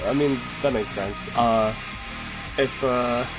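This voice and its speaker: A man with a medium-pitched voice talks casually as a tabletop game player. His delivery is very slow and hesitant, starting and stopping, with faint music underneath. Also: poor audio. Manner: hesitant, stop-start phrasing.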